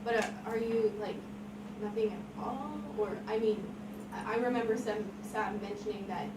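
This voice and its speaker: A woman's voice: medium pitch, fairly even intonation, normal speed, lightly acted. A woman reading a big, huggable, easily flustered young man in a script table read. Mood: awkward. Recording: roomy, distant mic, heavy background noise.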